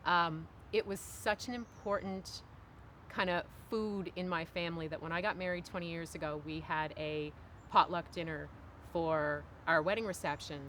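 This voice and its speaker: A woman's voice: on the low side, steady tone, moderate pace, fairly bright tone, a little hiss or hum. A woman in her forties from Cape Breton, Canada, giving thoughtful, emphatic, conversational answers as an interview guest. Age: 40s. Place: outdoors on a wooden bench by woods.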